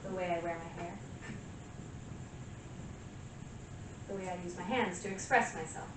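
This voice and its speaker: An actress plays a vain, deluded woman convinced of her own irresistible allure. She speaks in a deliberate, self-adoring stage manner with pauses. The sound is distant and very reverberant, with clear background noise. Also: mid-range voice, expressive, natural voice. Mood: smug, seductive. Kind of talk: theatrical stage monologue. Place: small live stage venue with audience. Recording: echoey room from far off, noisy.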